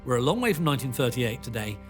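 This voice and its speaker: A man's voice: mid-range voice, sing-song, racing, soft background music. A man giving informal documentary interview commentary, talking conversationally. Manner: informal, frequent "you know".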